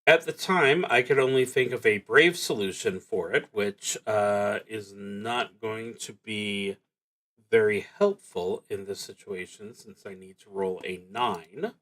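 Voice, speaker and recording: A man streaming a solo tabletop roleplaying game, thinking aloud in a halting, reflective way as he works through his moves. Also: on the low side, fairly bright tone.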